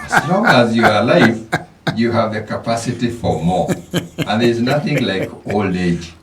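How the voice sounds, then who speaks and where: A man's voice: mid-range voice, huge pitch swings, a little slow, long pauses, a bit bright, reverberant, recorded from a distance, slight background noise. A Kenyan man, a financial coach, giving motivational advice as a radio guest, often repeating words as he starts a thought. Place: radio studio.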